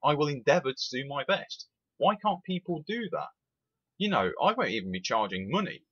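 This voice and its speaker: A man's voice: fairly high pitch, normal speed, slightly rough, lo-fi sound. A man, giving an opinionated, emphatic rant as a rail sim reviewer. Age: 20s. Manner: animated, emphatic, repeats itself.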